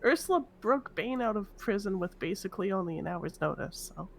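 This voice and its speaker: A woman's voice: mid-range voice, animated, brisk. A woman playing a scheming prison inmate in an online roleplay game, casually thinking her plans out aloud. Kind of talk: improvised in-character roleplay chat.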